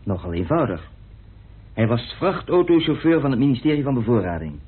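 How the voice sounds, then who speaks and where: A self-assured man in a radio drama. He explains and argues assertively in a husky, mid-pitched voice, with a dry manner. The sound is thin and boxy, like an old phone line. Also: big pitch swings, brisk. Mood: assertive, explaining. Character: self-assured man coolly arguing his theory of a murder. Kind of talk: radio play acting.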